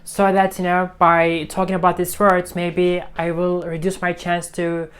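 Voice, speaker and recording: An English speaker talks through his learning routine in a relaxed vlog. He restates each point two or three ways as he works out how to say it, and his tone stays friendly and unhurried. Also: high-pitched, clear, resonant tone. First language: Turkish. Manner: repeats and rephrases himself while explaining. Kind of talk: casual teaching vlog.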